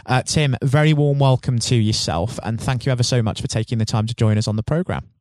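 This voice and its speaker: A man's voice: mid-range voice, quick pace, darkish tone, no room sound, clean studio sound. A man hosting a leadership podcast, reading a formal introduction and then interviewing his guest. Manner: smooth, formal and polished. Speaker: podcast host.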